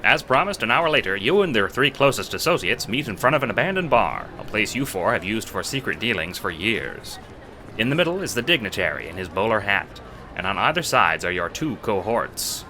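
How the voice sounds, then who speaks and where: A man's voice: on the low side, big pitch swings, brisk, bright, forceful tone, a little hiss or hum. A man narrating a scripted audio drama in the second person, with dramatic storytelling delivery.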